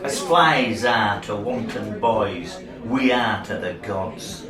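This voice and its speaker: A man's voice: fairly low pitch, slow pace, over music. A man in a radio play voicing a grandiose, poetic rugby obsessive with a declamatory, emphatic delivery. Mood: impassioned. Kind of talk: amateur radio play acting. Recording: roomy, distant, crowd noise behind.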